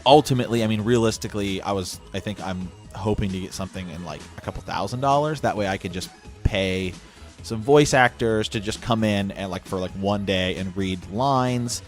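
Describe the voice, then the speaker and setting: Male podcast host, lower-pitched, casual conversational delivery, faint music underneath. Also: brisk.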